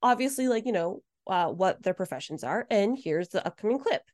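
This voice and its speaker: A woman's voice: mid-range voice, expressive, brisk, darkish tone. A woman co-hosting a podcast, chatting casually and laughing easily. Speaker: podcast co-host.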